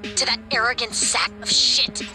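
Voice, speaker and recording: An actress playing a blunt, hot-tempered, sardonic crew member in a naturalistic science fiction game. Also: female voice, on the high side, steady tone, moderate pace, over music, natural voice. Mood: bitter, angry. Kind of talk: naturalistic video game dialogue.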